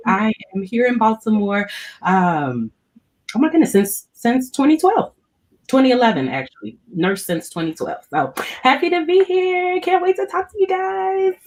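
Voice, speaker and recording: A woman's voice: medium pitch, lively intonation, measured pace. A woman in her thirties from Ohio, chatting informally and playfully as a nurse on a panel. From born and raised in Cincinnati, Ohio. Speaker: community health nurse panelist. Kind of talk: casual chat.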